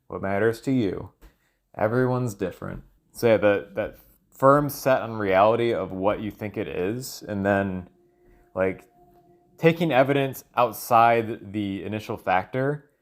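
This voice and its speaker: A man's voice: fairly low pitch, measured pace. A man thinking aloud in a loose solo monologue, hesitant and often trailing off as he searches for words. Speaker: solo audio log creator.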